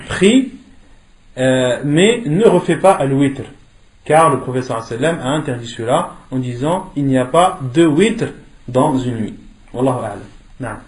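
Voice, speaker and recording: A man's voice: mid-range voice, lively intonation, normal speed. Man teaching in an echoey room. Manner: didactic, questioning, repeats key phrases for emphasis. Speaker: religious teacher. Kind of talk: interactive religious lesson with questions and answers.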